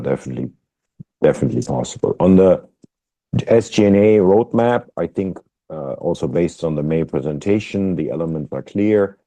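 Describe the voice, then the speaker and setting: A man speaking as a company executive, answering questions on an earnings call in a halting way with repeated words. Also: deep voice, warm tone.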